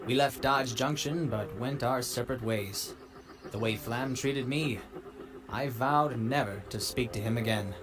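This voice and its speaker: A man's voice: medium pitch, unhurried, background noise, natural voice. A man voicing a wistful traveling salesman pony in a cartoon comic dub, telling his tale with earnest melodrama. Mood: bitter. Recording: very loud music underneath.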